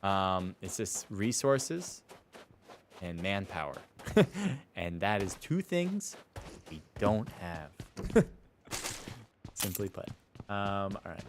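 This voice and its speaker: A man's voice: low-pitched, big pitch swings, takes it slowly, pauses often, slight background noise. A man casting a live gaming stream in a casual, rambling, self-deprecating way. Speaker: esports livestream caster. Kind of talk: casual live game commentary and chat. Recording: music behind the voice. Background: music.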